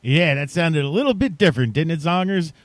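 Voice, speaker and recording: A man's voice: a little high, animated, brisk, dry recording. A man hosting a podcast, talking casually to his listeners in a chatty, playfully emphatic way. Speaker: podcast host.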